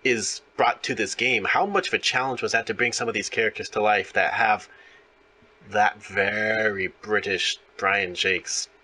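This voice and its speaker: A man's voice: fairly low pitch, steady tone, moderate pace, bright, forceful tone, breathy edge. A man hosting a conversational interview, explaining things in a rambling way. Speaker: interviewer, host.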